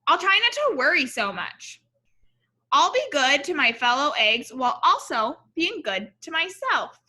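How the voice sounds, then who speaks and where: A woman's voice: high-pitched, very animated, unhurried, bright, forceful tone. A woman reading a picture book aloud to children, expressively, with explanatory asides and questions for her young listeners.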